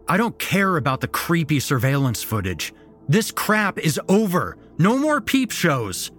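A man voicing a frightened, angry young man who shouts defiantly. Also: a little high, sing-song, a little slow, faint music behind, pitched above the performer's own voice. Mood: angry shouting.

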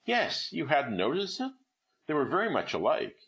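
A man's voice: a little high, huge pitch swings, moderate pace, vocal fry, fairly bright tone, voice pushed up, heavily acted. A man voicing a hard-worn poor woman who earnestly confesses her long years of debt, in a read-aloud story.

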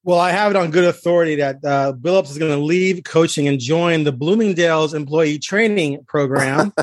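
A man's voice: on the high side, moderate pace, no room sound. A man hosting a casual sports podcast, talking conversationally as a reporter with a joking streak.

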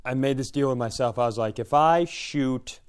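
A man co-hosting a casual chat, thinking aloud in a halting, reflective way and often breaking off to rephrase. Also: medium pitch, clean tone. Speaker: podcast co-host.

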